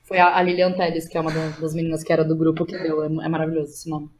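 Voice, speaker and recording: A woman's voice: low voice, monotone, rapid-fire, heavy background noise. A woman with a Brazilian Portuguese accent talking casually about her own work as an interview guest, informal and slangy, thinking aloud as she goes. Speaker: guest, playwright.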